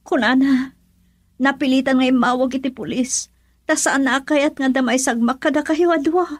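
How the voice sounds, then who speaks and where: A woman playing a soft-hearted, worried daughter in a radio drama, pleading and conciliatory. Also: high voice, fairly even intonation, moderate pace, no room sound, clean studio sound.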